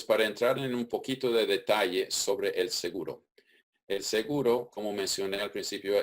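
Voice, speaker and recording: Guy, very low voice, calm methodical webinar explainer on a call. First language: English. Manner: methodical, steady explaining with occasional restarts. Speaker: webinar presenter.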